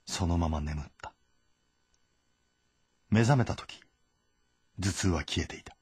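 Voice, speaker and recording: A man reading dramatic scripted narration of a historical novel, in terse, measured sentences. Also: sits low, a little mellow, breathy edge, no room sound. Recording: voice over background music.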